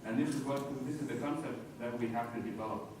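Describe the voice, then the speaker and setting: A man with a South Sudanese accent telling a personal story as a speech, in deliberate short phrases. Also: mid-range voice. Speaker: conference speaker. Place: large echoey hall. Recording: very echoey hall, distant mic, noisy.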